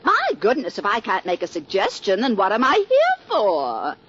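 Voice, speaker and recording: A woman's voice: medium pitch, wildly swooping pitch, normal speed, fairly bright tone, breathy edge, full character voice. An actress playing a meddling, sugary mother-in-law in a 1940s radio comedy, with affected, fluttery sweetness. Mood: condescending. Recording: muffled, noisy 1940s radio, studio audience.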